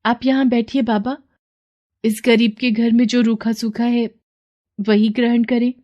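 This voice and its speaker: A woman's voice: on the high side, little pitch movement, unhurried, warm tone, highs cut off, pitched above the performer's own voice, close to an everyday voice. A woman voicing a meek, kind young village daughter-in-law, respectful and plaintive, in a story. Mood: gentle, humble.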